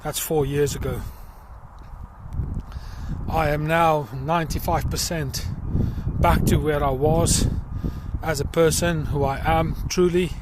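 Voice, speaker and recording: A middle-aged man with a South African accent, talking earnestly about himself in a personal vlog while out walking in a field, with many pauses and fillers. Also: a little high, fairly even intonation, background noise. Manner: earnest and reflective, pausing with fillers. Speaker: crowdfunding campaigner. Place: outdoors in a field.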